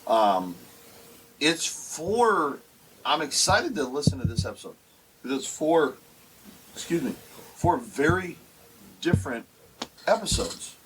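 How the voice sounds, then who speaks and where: A man's voice: fairly high pitch, sing-song, takes it slowly, long pauses, noisy background. A man in his forties co-hosting a casual podcast, talking in a rambling way with many restarts. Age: 40s. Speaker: podcast co-host. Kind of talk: casual chat. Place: basement.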